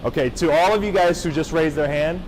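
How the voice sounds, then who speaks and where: A young man from Japan tells his story to a room of high school students in a loose, engaging way, often checking that they are with him. His voice sits a little high. Faint background noise runs under the talk. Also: a little fast, a little mellow, rough recording. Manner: casual, engaging, checks in with the audience. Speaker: motivational speaker. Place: high school gathering space.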